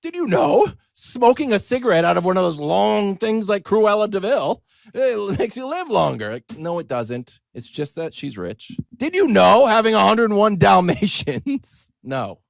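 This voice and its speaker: A man's voice: sits high, normal speed, creaky, dry recording, clean studio sound. A man hosting a sports talk show, telling stories in a conversational, comedic and emphatic monologue. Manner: emphatic, animated, acts out quoted exchanges.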